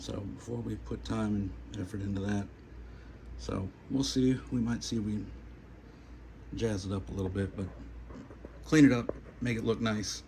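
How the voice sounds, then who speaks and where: A man's voice: fairly low pitch, fairly even intonation, moderate pace, gravelly, long pauses, a little mellow. A man giving a casual, unscripted hands-on video demonstration, plain-spoken and hesitant, in short trailing phrases. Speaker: hobbyist presenter of a radio repair video. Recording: noticeable background hum and noise.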